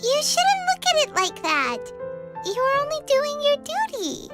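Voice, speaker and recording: A chirpy little floating fairy girl from a game, squeaking at a very high pitch. Her voice leaps and bounces all over the place as she cheers her companions on. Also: big pitch swings, unhurried, over music, exaggerated voice. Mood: reassuring. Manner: bright, eager and encouraging. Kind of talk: game character dialogue.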